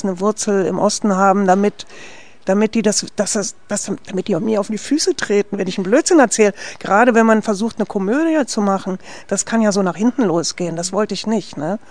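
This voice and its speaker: Chatty director from West Berlin. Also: female voice, medium pitch. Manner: lively, tags sentences with "ne?", frequent restarts. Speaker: film director being interviewed. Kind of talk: conversational interview answers.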